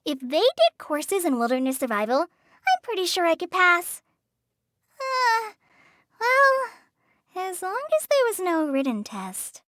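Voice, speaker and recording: A timid young forest ranger girl from a video game, with a very high, lively voice. She hesitates, sighs and apologizes as she talks. The studio recording is dry and close. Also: slow pace, long pauses, no room sound, exaggerated voice. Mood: gentle. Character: shy young female forest ranger apprentice. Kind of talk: game character voice lines. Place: studio booth.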